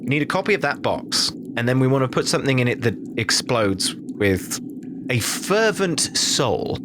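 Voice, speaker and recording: A man's voice: medium pitch, animated, normal speed, noisy background, close to an everyday voice. A man playing a vengeful, scheming gang boss in an improvised tabletop game, blunt and theatrical. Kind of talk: improvised tabletop role-play. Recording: music behind the voice.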